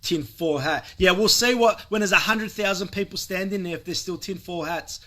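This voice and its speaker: A man's voice: high-pitched, normal speed, pushed and bright. An Australian man delivering an angry, emphatic political rant with heavy swearing. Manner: vehement, emphatic, profane.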